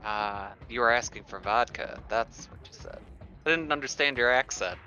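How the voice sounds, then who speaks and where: A man with a mid-pitched, forceful voice roleplays casually in a tabletop game. The sound is dull and lo-fi, with faint music behind. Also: moderate pace. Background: background music.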